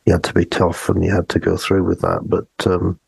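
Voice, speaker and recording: A man co-hosting a podcast in casual, discursive conversation, with a gravelly, husky voice. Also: low voice, completely flat delivery, fairly quick, dry recording. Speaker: podcast co-host.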